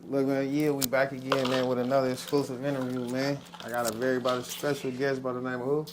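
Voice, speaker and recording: A man's voice: mid-range voice, little pitch movement, fast talker, noisy background. A man hosting a podcast interview in a loose, laid-back way, often saying "man" and "come on". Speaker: podcast host.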